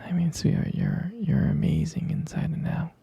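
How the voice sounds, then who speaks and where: A man playing an adoring, tender vampire lover in an intimate ASMR roleplay, whispering breathily and haltingly with gravelly fry. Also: a little high, fairly even intonation, highs cut off, heavily acted. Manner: slow, halting, softly repeating himself. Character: adoring, tender male vampire lover soothing his sweetheart.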